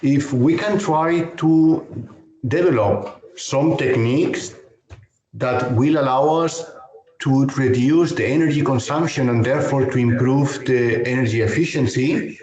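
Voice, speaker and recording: A professor from Spain with a noticeable Spanish accent, talking through a technical webinar in a measured, hesitant way. His voice sits at an ordinary middle pitch. The audio is an echoey online call. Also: a little mellow, lo-fi sound. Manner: measured, careful explanation with frequent hesitations. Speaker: university professor.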